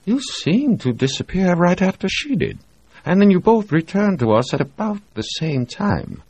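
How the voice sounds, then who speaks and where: A smug English ship's doctor delivers his lines with a leer, pitched well above the reader's deep voice and pushed louder. A man reads him, his tone husky and close to the mic. It is a sly, mocking performance. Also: very animated, moderate pace, no echo, heavily acted. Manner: smug, teasing, with a crooked grin.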